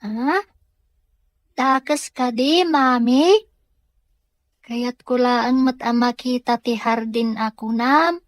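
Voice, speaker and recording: Young girl, voiced by a woman: eager, wheedling, high pitch, dry close recording, radio drama acting. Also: takes it slowly, long pauses, no echo. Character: eager, pleading young daughter.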